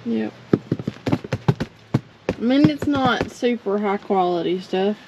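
A woman's voice: mid-range voice, expressive, slow, creaky, highs cut off. A woman chatting casually in brief, offhand remarks as she comments from behind the camera. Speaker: storage unit reseller and co-host. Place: outdoors at an open storage unit. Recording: very noisy outdoor camera audio, clicks.

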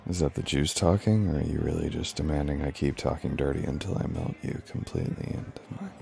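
Flirty, commanding former professor, acted by a man: extremely deep, creaky, breathy delivery for intimate ASMR roleplay. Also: quick pace, darkish tone, faint music behind, full character voice. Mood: dominant, seductive. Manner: breathy, murmured, teasing, often dropping to near-whisper.

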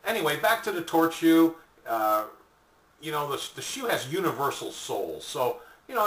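An older man giving a folksy, conversational sales pitch to camera as a product presenter, seated in a small room. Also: bright, forceful tone. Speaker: product presenter for an online shop. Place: small indoor room at home or office, seated. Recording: echoey room, distant mic.

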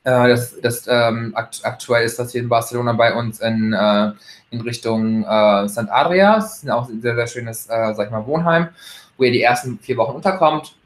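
A man's voice: medium pitch, moderate pace. Hesitant man in his thirties, echoey room. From Germany. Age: 30s. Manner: hesitant, many fillers and restarts. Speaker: employee giving a testimonial. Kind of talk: casual recruitment testimonial. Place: bright room at home, indoors. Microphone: laptop webcam, video call. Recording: echoey room, laptop mic.

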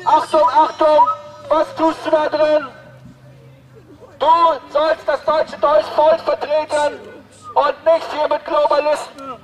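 A man shouts taunts through a megaphone outdoors by a fence. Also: extremely high pitch, monotone, slow pace, long pauses, rough recording. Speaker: protester with megaphone.

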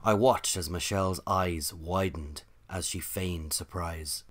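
Low-pitched male narrator, an actor, dry and put-upon, telling an office story for audio drama. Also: steady tone, slow pace. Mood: wry. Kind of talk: scripted first-person narration.

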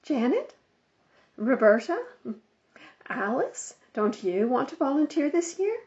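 Woman reading as a firm brisk mom bossing kids in a storybook, highish voice, slightly muffled. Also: big pitch swings, a little mellow, full character voice. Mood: polite, coaxing. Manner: firm, instructing. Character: brisk, firm mother directing a children's church pageant. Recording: slightly dull lo-fi recording.

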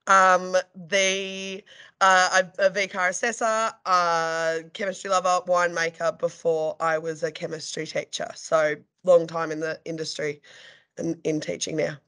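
A woman's voice: on the low side, level tone, measured pace, bright, forceful tone. A woman, a school science head, introducing herself while losing her voice, in a chatty way.

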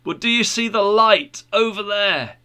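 An earnest evangelist from a storybook allegory acted by a man. He guides the lost with instructive directions in a bright voice, slightly high, taking his time. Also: unhurried, smooth. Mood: earnest, urging. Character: calm, guiding evangelist pointing the lost to safety.

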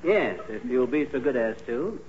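A man's voice: mid-range voice, lively intonation, normal speed, darkish tone, lightly acted. A man playing a stubborn, boastful, bumbling husband in a 1940s radio comedy, brash and folksy. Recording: noisy, muffled 1940s radio recording.

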